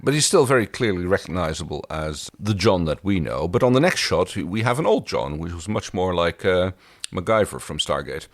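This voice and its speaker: A man co-hosting a casual TV recap podcast, chatting in an animated way. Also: low voice, normal speed, no echo. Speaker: podcast co-host. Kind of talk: casual TV episode recap chat.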